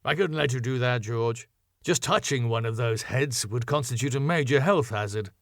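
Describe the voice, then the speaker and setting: A man's voice: medium pitch, moderate pace, dry recording, clean studio sound, close to an everyday voice. A man voicing a pompous, sarcastic middle-aged male venue owner. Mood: haughty. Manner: fussy, sarcastic, falsely polite.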